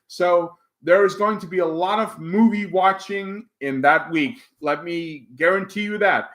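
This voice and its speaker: Man, Dutch accent, livestream host, slow and hesitant, many fillers, slightly high voice. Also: fairly bright tone. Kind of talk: casual livestream chat, reading viewer comments.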